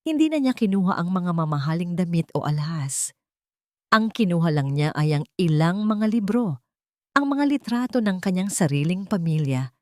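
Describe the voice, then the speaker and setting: A woman's voice: deep voice, a little mellow, no echo, studio quality. A woman reading scripted, dramatic narration as a storyteller.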